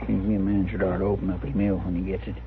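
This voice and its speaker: An actor playing a fussy, nagging marshal's helper with folksy, rambling speech in a western radio drama. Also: male voice, deep voice, flat delivery, racing. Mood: fussy, put out. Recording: crackly lo-fi old radio recording.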